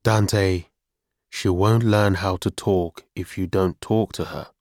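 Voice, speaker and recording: A man's voice: sits low, steady tone, slow pace, darkish tone, dry recording, studio quality. A man voicing a stubborn, tired middle-aged father, critical but caring, in an audiobook story. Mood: weary. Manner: weary, firm, matter-of-fact.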